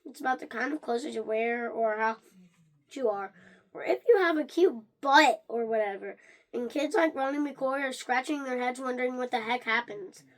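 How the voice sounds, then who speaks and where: Someone reading a children's book aloud in an animated way, stumbling over words and starting again. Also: sits high, normal speed. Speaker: reader of a read-along.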